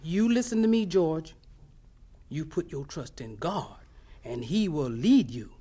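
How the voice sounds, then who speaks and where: A woman playing a bossy, loving, devout Southern aunt in a radio drama, husky and emphatic, with folksy scolding. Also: low-pitched, expressive, unhurried, mellow, exaggerated voice. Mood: firm, loving.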